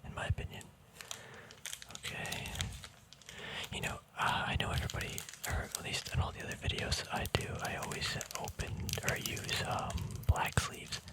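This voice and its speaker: An ASMR host speaking in a casual, rambling whisper, hesitant and thinking aloud. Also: moderate pace. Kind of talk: ASMR whispering, casual card unboxing chat.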